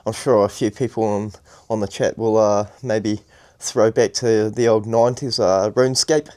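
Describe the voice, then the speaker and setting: Calm, low-voiced New man. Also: normal speed. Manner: thoughtful, calm, many fillers. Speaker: podcast co-host. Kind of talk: casual chat.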